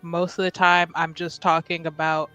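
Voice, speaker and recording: A woman's voice: lowish voice, level tone, moderate pace, sharp tone, clear, resonant tone, highs cut off, faint music behind. A woman who plays in a tabletop game, introducing herself in a casual chatty style.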